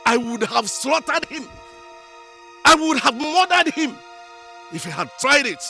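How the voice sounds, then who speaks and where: A man's voice: high-pitched, big pitch swings, moderate pace. An actor with a Nigerian accent playing an indignant village father in a film drama, ranting in rhetorical questions with music behind. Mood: outraged. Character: indignant village father spitting threats at a troublemaker.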